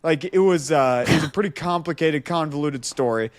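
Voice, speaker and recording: A man's voice: on the high side, brisk, no room sound, clean studio sound. Man telling a casual comic anecdote. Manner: animated, acts out dialogue. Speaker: podcast co-host.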